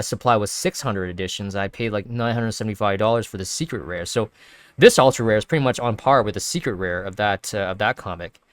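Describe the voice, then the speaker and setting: A man's voice: lowish voice, fairly bright tone, clear, resonant tone, no echo, studio quality. A man hosting a casual video, thinking aloud with many fillers and restarts while sniffly from sneezing. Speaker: crypto video host. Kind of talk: casual screen-share commentary.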